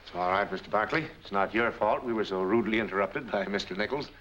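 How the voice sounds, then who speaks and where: An actor with an American accent playing an obliging, deferential hired investigator in a 1940s film noir. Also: male voice, fairly low pitch, fast talker, a bit bright, close to an everyday voice. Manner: deferential, chatty. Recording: dull, noisy old film soundtrack.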